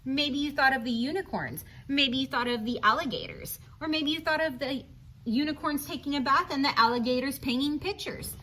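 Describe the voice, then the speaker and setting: A woman with a high, very lively voice reads a storybook aloud for young children. Her intonation swings widely as she speaks straight to her listeners, posing questions and offering encouragement in asides. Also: moderate pace, low-quality recording. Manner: animated, questioning, encouraging, addresses listeners directly. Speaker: children's read-aloud presenter.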